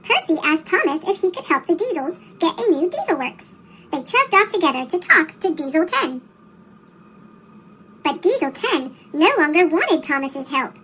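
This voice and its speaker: A woman's voice: very high-pitched, sing-song, moderate pace, a bit bright, a little hiss or hum. A woman reading a children's story aloud, her voice turned into a sped-up, childlike chipmunk sound. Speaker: storybook reader.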